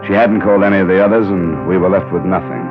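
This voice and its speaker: Hard-boiled radio detective, deep American voice, terse, skeptical, old muffled broadcast, music behind. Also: male voice, fast. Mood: grave narration. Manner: terse, matter-of-fact, clipped questioning. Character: hard-boiled male insurance investigator, cool and skeptical. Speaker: lead actor and first-person narrator. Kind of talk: 1950s American radio crime drama acting.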